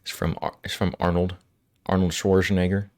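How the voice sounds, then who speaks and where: A male livestream host chatting casually with viewers, slightly hoarse from a cold, relaxed and quick to laugh. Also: deep voice, no room sound, studio quality. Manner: relaxed, laughs often, answers chat. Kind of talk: casual chat with live chat. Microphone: studio microphone.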